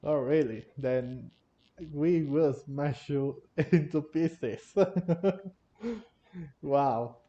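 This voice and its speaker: A man giving casual, halting and giggly live commentary while playing a video game. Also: medium pitch, warm tone, muffled recording. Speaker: gamer streaming a playthrough.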